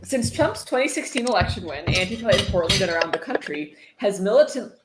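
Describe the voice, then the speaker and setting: A woman's voice: mid-range voice, sing-song, a little fast. Sardonic woman in an echoey room. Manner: dry, sarcastic. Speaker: host reading a book aloud. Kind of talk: sardonic dramatic reading with casual commentary. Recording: roomy echo, faint noise.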